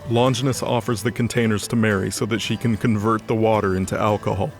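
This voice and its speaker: A man's voice: fairly low pitch, steady tone, fast, creaky, faint music behind. A man narrating a scripted audio drama as storyteller.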